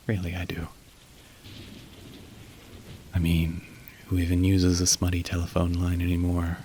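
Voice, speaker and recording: A man's voice: sits low, gravelly, a little mellow, slight background noise. A man voicing a polite, wry incubus phone operator in an ASMR roleplay, suggestive yet awkward, with rain behind him. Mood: awkward, pleading. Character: suave, awkward young male incubus working a phone line. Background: falling rain.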